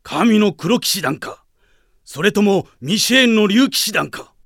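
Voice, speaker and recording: An actor playing an old general, fearful and blustering, with a gravelly, husky voice, in a radio drama. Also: male voice, high-pitched, animated, unhurried, a bit bright, no echo, clean studio sound, exaggerated voice. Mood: eager. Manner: old man's speech, agitated.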